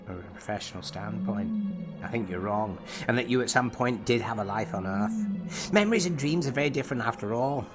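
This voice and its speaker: A man playing a probing, enigmatic therapist in an audio drama, speaking in a measured, formal, analytical way. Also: mid-range voice, lively intonation, natural voice. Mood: probing, certain. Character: probing, enigmatic male therapist to an alien patient. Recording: music under the voice.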